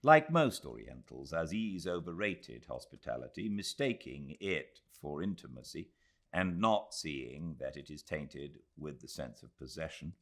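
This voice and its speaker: Deep-voiced British man narrating, slow and expressive. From Britain. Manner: measured, expressive storytelling. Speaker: audiobook narrator. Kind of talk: literary audiobook narration.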